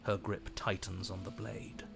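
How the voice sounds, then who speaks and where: A man's voice: low voice, flat delivery, gravelly, very noisy, heavily acted. Theatrical male horror-game narrator. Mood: grim, solemn. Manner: theatrical, deliberate, literary phrasing. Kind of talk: dramatic scripted game narration.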